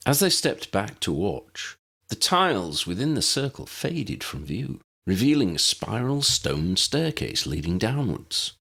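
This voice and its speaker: Audiobook narrator: man, creaky low voice, reading scripted fiction with lively inflection. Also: measured pace.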